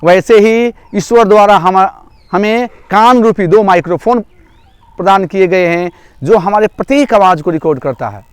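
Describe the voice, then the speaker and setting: Casting: male yoga nidra instructor, high smooth voice, repetitive step-by-step guidance, dry close sound. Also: moderate pace, no room sound. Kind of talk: guided relaxation instruction. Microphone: microphone through a loudspeaker.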